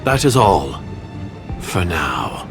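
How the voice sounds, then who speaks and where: An actor playing a wary, condescending conspirator in a video game, speaking in a measured, cautious way. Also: male voice, low-pitched, sing-song, creaky, exaggerated voice. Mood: cold, guarded. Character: wary, secretive, condescending male foreign conspirator. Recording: music under the voice. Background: game music.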